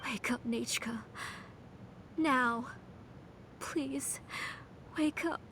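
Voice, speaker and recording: A woman voicing a middle-aged, grieving, obsessive mother in a video game, in a soft, hushed and fervent manner. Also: sits high, extremely slow, background noise. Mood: tender.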